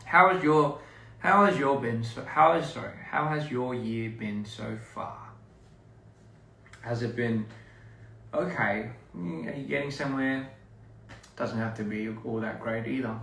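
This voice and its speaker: A man's voice: mid-range voice, measured pace, gravelly, a little hiss or hum. A young man from Australia, chatting casually and rambling with fans on a live stream. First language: English. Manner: relaxed, rambling, laughing between lines. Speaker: livestreaming artist. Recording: echoey room, distant phone mic.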